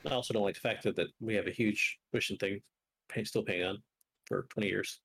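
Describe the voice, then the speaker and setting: A man's voice: lowish voice, steady tone, a little fast, gravelly, fairly bright tone, slightly rough, rough recording. A man co-hosting a podcast in casual, rambling chat, teasing and drifting into asides. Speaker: podcast co-host. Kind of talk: casual chat with live chat banter.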